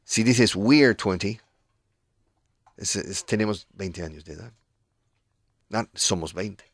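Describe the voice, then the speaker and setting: A man's voice: fairly low pitch, measured pace. A middle-aged man hosting a radio English lesson, patiently explaining phrases and switching between two languages. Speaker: English teacher and radio host.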